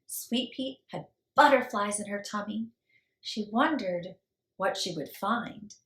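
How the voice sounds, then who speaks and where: Female children's storyteller: expressive, high-pitched, deliberate, echoey recording with some noise. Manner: theatrical, deliberate, emphatic. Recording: roomy echo with background noise.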